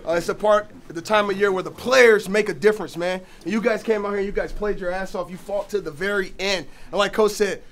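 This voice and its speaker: Blunt coach rallying players. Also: male voice, sits high, steady tone, brisk, hardly any pauses, a bit bright. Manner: blunt, rousing, profane. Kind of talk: locker room speech to players. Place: crowded locker room.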